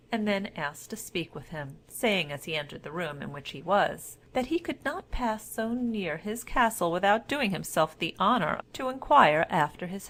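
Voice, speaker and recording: Female storyteller, mid-pitched voice, for measured, formal fairy-tale narration from a script.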